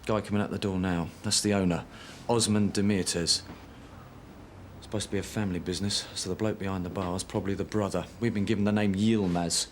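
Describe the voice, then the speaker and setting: An actor playing a brisk, matter-of-fact British police sergeant in a TV police drama. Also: male voice, deep voice, steady tone, a little hiss or hum, close to an everyday voice. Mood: briefing.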